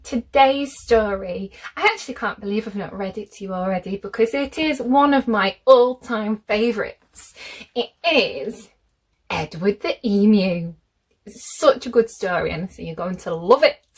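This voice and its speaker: A woman reading a children's picture book aloud, expressive and deliberate, speaking directly to young listeners. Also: mid-range voice, husky.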